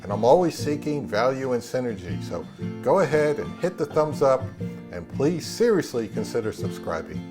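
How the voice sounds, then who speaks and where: A man's voice: medium pitch, sing-song, normal speed, over music. Man hosting an audio gear review. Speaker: host of an audio review channel. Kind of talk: scripted narration and product review.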